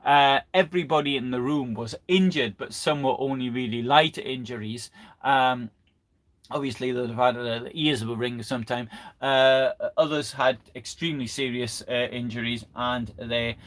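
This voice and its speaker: Man, history presenter, unscripted live lecture, medium pitch, halting phrasing with repeated words. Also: fairly even intonation, fairly bright tone. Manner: halting, repeats and restarts phrases mid-sentence. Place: live online broadcast.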